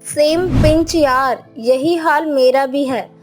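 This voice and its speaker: A friendly, gossipy young wife in a cartoon story. Her voice is high. Soft music plays behind. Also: fairly even intonation, slow pace. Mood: chatty. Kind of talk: cartoon voice acting.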